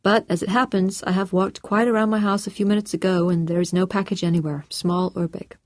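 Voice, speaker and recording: A woman reading the lines of a calm, guarded man, keeping a light and unworried tone. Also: mid-range voice, a little fast, dry recording, studio quality, natural voice.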